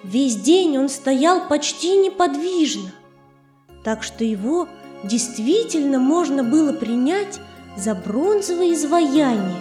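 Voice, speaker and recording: A woman narrating a children's tale in a measured, expressive literary reading. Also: high voice. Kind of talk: scripted storytelling for children. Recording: roomy reverb, faint music behind.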